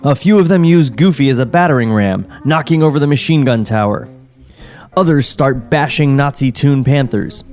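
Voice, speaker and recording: A man reading screenplay action lines aloud in a steady, mid-pitched voice, dry and a little wry. Light music sits faintly in the background of his podcast studio mic. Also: normal speed. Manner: dry, wry, with chuckles and sarcastic asides. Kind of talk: script table read, narrating stage directions.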